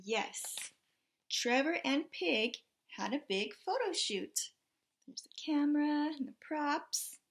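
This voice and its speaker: A woman in her thirties, a teacher reading a picture book aloud expressively, pausing to exclaim and put questions to children. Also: high-pitched, lively intonation. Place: small indoor room with bulletin board.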